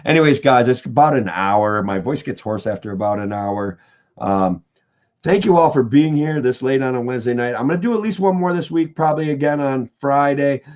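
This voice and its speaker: Hoarse guy rambling on a livestream, keeps saying guys and okay, dull lo-fi sound. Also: medium pitch, a little fast, a little mellow. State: voice getting hoarse. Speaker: political candidate livestreaming. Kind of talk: casual livestream talk to viewers.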